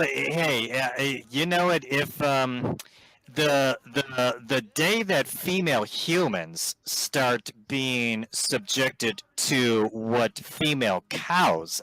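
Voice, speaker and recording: A man speaking as a vegan activist in a voice-chat debate, halting with frequent restarts and ums. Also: medium pitch, pushed and bright, dry recording, low-quality recording. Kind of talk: debating on a voice call. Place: online voice chat.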